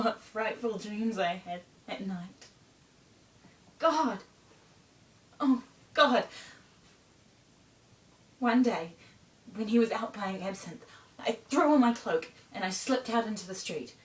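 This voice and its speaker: A woman performing a stage monologue as a troubled young bohemian runaway, confessing in a deliberate, haunted manner with pauses. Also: lightly acted. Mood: distressed. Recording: roomy, distant mic, faint rumble.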